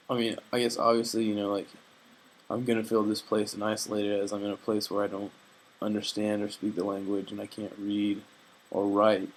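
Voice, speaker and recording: Hesitant young man, lowish voice. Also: slight background noise, low-quality recording. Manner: hesitant, reflective, many fillers and restarts; earnest, faith-minded. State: homesick. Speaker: vlogger. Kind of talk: casual personal video diary.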